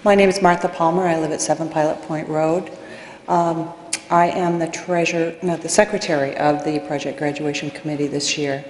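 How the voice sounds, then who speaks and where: A woman's voice: deep voice, steady tone, normal speed, a little mellow. A middle-aged woman addressing a public meeting as a committee secretary making a request. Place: large indoor meeting room, at podium. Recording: very echoey meeting hall, faint noise.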